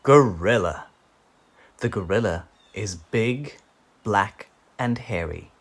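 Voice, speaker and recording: A man reading a children's picture book aloud in an animated, theatrical way, drawing out key words. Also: fairly low pitch, takes it slowly.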